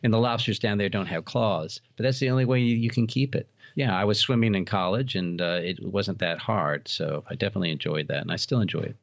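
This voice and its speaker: Low-voiced middle-aged American man, roomy sound. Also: fairly quick, vocal fry, hardly any pauses, dull audio. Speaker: podcast guest, author and haunted house operator. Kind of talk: casual interview storytelling.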